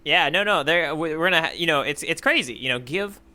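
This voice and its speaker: Guy co-hosting a podcast, smooth clear voice a bit high, casual thinking out loud. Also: lively intonation, fairly quick. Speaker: podcast co-host. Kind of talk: casual chat reacting to a story.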